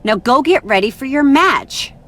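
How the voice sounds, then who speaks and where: A woman's voice: sits high, expressive, moderate pace, fairly bright tone. A woman playing a stern, exasperated wrestling executive who is in charge, in a video game cutscene. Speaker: executive character. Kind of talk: scripted game cutscene dialogue.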